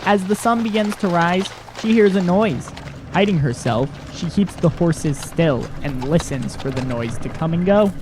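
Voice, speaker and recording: A man with a fairly high voice narrates an audio drama from a script. There is faint noise in the recording. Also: expressive, a little slow.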